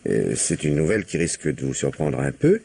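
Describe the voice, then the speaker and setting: Very deep, husky police commissioner. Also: male voice, sing-song, fairly quick, mellow, heavily acted. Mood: politely insistent. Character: male police commissioner, probing, courteous, unhurried investigator. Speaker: radio drama actor. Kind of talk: French radio mystery drama acting.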